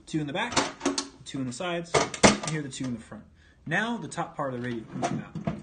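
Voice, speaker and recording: A man's voice: fairly high pitch, big pitch swings, brisk, very noisy. A man presenting an informal hands-on demonstration, explaining things step by step in a plainspoken way. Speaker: presenter demonstrating vintage military radio equipment.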